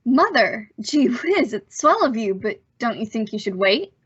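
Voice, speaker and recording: A woman's voice: on the high side, big pitch swings, unhurried, a bit bright, husky, dry recording, highs cut off, exaggerated voice. A woman playing a bashful, hesitant teenage boy in an old-time radio drama, stammering politely. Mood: nervous, hesitant. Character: bashful, evasive returned teenage boy, gushing gee-whiz politeness.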